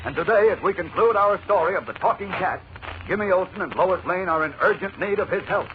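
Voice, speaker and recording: A man's voice: sits high, brisk. A man giving dramatic scripted narration as announcer of a 1940s radio serial. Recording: muffled, noisy vintage radio recording.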